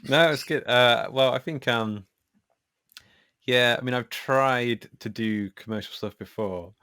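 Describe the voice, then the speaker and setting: A man speaking as a podcast guest in a casual conversation, reflective and candid, often halting as he works out his thoughts. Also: mid-range voice, sharp tone, clear, resonant tone, dry recording, studio quality.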